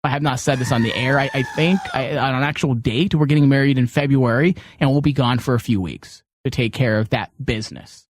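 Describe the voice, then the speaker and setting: A male podcast host with a medium-pitched voice chats casually and off the cuff. He rambles conversationally in a close, dry recording. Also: fairly quick, few pauses, no echo. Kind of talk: casual, unscripted show chat.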